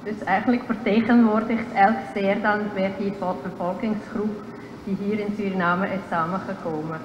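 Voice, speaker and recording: A woman speaks thoughtfully into stand mics, pausing and restarting now and then. The room is roomy, with a long echo, a dull tone and clear background ambience. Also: medium pitch, steady tone, slight background noise. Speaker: exhibition initiator. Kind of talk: interview answer. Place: museum hall. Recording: echoey hall with dull sound and ambience.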